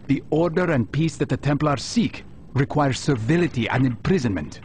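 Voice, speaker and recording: An actor playing a calm, self-assured assassin, speaking in a measured, formal way in a video game cutscene. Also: male voice, mid-range voice, slight background noise, natural voice. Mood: philosophical. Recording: music behind the voice.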